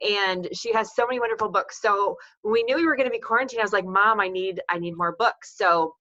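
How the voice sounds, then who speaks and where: Woman, co-host, bright crisp-edged voice, casual chatty delivery, slightly muffled lo-fi recording. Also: mid-range voice, quick pace. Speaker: co-host reading out audience questions. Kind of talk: casual chat.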